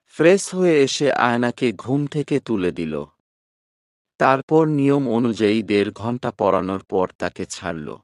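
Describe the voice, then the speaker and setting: A man's voice: mid-range voice, big pitch swings, unhurried, no echo, studio quality. A man narrating a scripted romantic story expressively.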